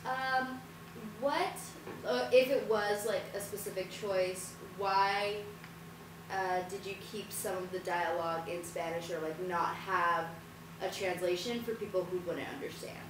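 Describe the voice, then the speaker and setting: A woman's voice: mid-range voice, unhurried. Hesitant woman asking from far, heavy echo. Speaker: audience questioner. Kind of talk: casual question from the floor. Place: indoor room, public post-show discussion. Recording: very echoey, noisy room, far from mic.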